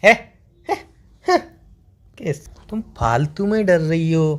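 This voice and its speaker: A man's voice: a little high, big pitch swings, slow. A young man playing a boyfriend on a staged couple phone call, joking and teasing.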